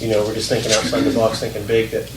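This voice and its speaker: A man's voice: on the low side, monotone, fast. Hesitant man in an echoey meeting hall. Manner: hesitant, restarts sentences. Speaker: county commissioner. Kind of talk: public meeting discussion. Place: council meeting room. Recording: echoey hall, distant mic, hiss.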